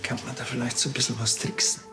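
Deadpan Bavarian policeman. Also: mid-range voice, fast, soft background music. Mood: sly, evasive. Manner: deadpan, laconic. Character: dry, sly, laconic male village policeman. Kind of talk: radio play acting.

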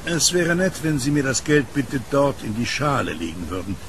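A friendly blind news seller in a radio play, gravelly, very up and down melody. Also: male voice, medium pitch, big pitch swings, moderate pace, background noise. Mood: calm, candid. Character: blind newspaper seller, war veteran, gentle and easygoing. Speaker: kiosk newspaper vendor. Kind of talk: audio drama acting.

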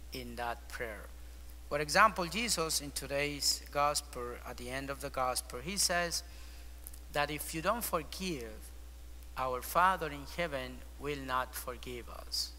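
A male priest gives a homily in English with a Spanish accent, pausing and reaching for words along the way. His voice is bright and clear. It rings out through a large church with long reverb and a faint hum underneath, picked up by a distant camera. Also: mid-range voice. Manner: hesitant, searching for words with frequent ums. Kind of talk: weekday homily. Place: large ornate church, at the altar. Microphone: far camera.

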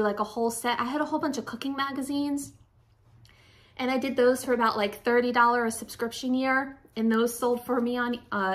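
A woman's voice: on the high side, fairly bright tone. A woman in her forties, a little out of breath, chatting casually and rambling to viewers as she presents secondhand finds. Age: 40s. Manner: rambling, self-correcting, addresses viewers directly. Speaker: reseller showing flea market finds. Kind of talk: casual chatty haul vlog. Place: furnished room at home, indoors.

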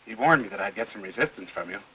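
An actor playing a polite, businesslike notary in a fifties film, formal and matter-of-fact. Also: male voice, lowish voice, animated, fast, pushed and bright, a little hiss or hum, rough recording. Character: brisk, polite businesslike notary paying a call. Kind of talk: 1950s film acting. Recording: old 1950s film soundtrack.

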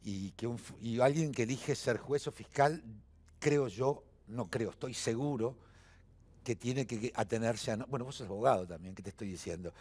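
A man's voice: medium pitch, moderate pace, darkish tone, slightly rough. A man in his seventies with an Argentine accent, a guest in a television studio interview, talking emphatically with frequent fillers and restarts. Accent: Argentine Spanish. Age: 70s.

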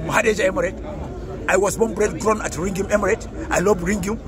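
A man's voice: sits high, a little fast, a crowd in the background. A man with a Nigerian accent, a political activist giving emphatic, grandiose street-interview answers outdoors. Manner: emphatic, grandiose, repeats himself. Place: outdoors on an urban street near traffic.

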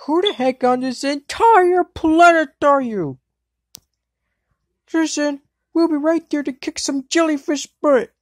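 A woman voice acting as a spirited, excitable anime girl for an amateur dub, exclamatory and theatrical. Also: sits high, slow, no echo, clean studio sound. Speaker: amateur voice actor for an anime fandub. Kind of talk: acted cartoon dub clips.

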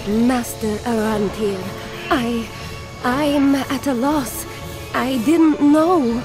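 An actress playing a devout young acolyte in a fantasy game, deferential and earnest. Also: female voice, high-pitched, very slow. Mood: humble, pleading. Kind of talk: dramatic fantasy game dialogue. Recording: music under the voice.

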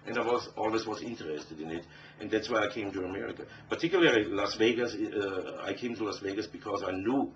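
A man's voice: fairly low pitch, a little fast. A middle-aged man from Austria with an Austrian German accent, a native German speaker answering conversationally as a musician in an interview. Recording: dull, distant, faintly noisy interview audio.

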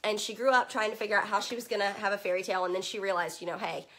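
A woman's voice: mid-range voice, fairly even intonation, very fast, fairly bright tone, low-quality recording. Chatty young woman with a fading voice. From Virginia. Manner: chatty and upbeat, many "um"s, greets viewers by name. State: losing her voice from allergies. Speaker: children's book consultant and host. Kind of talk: casual chat to a live group. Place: home room in front of full bookshelves. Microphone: webcam at desk distance.

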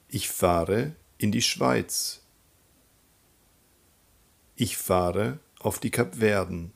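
A man teaching a German lesson, calmly naming countries and repeating example sentences for learners to follow. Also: fairly low pitch, gravelly, husky. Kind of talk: slow, clear teaching with drill repetitions.

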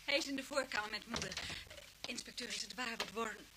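A woman's voice: on the high side, fast talker, lightly acted. An actress playing a polite, teasing young woman, a grown daughter, in a radio drama. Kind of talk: Dutch radio drama acting. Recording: noisy old radio play recording.

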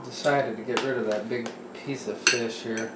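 Man, relaxed home cook, talking through each step, roomy kitchen, sizzling pan behind. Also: mid-range voice, normal speed. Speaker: home cook filming meal prep. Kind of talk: casual how-to narration. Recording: echoey room with clear kitchen noise. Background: sizzling pan and stirring.

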